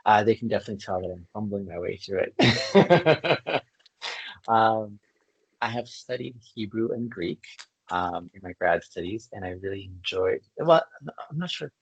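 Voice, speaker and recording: A podcast host from Canada talks in an articulate, reflective way and laughs easily. His voice is fairly low with a husky edge, and the recording sounds close and dry. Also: lively intonation, moderate pace, no room sound, low-quality recording. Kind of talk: conversational interview.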